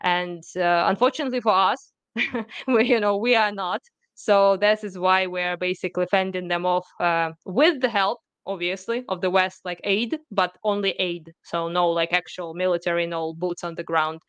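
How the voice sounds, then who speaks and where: A young woman from Ukraine, talking casually as a guest on a live stream, with many fillers and soft laughs. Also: lowish voice, steady tone, moderate pace, clear, resonant tone, no room sound.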